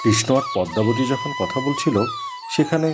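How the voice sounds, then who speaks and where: A male narrator tells a scripted fairy tale. His pitch is average for a man but rises and falls widely. Music plays clearly behind the voice. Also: wildly swooping pitch, moderate pace.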